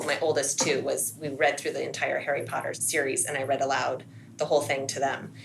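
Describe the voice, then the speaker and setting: A woman's voice: low voice, gravelly, poor audio. A middle-aged American woman, a podcast guest speaking in a casual, reflective conversational style. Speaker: podcast guest, school assistant principal. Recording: faint background noise.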